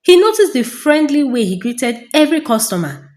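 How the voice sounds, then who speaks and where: A woman narrating a folktale as a storyteller, in a dramatic, deliberate and suspenseful scripted style with pauses. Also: high-pitched, big pitch swings, a little mellow.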